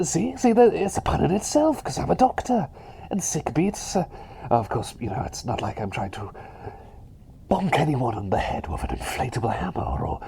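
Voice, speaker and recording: Whispery cheerful plague doctor, acted by a man, ASMR roleplay, swooping animated pitch, creaky edges, eager, bumbling, polite. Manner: bumbling, hesitant, excitable, polite and chatty.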